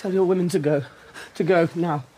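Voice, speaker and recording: A woman's voice: fairly low pitch, fairly even intonation, fairly quick, background noise, lightly acted. A woman playing a weak, frightened young king in a radio drama, hesitant and fretful in speech. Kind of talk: dramatic radio play acting.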